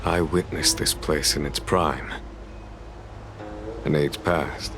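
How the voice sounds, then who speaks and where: Very deep, measured fantasy general. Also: male voice, fairly even intonation, over music, full character voice. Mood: commanding, reflective. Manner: measured, formal, deliberate. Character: calm, venerable male general, measured and sagely. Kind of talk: dramatic fantasy video game dialogue.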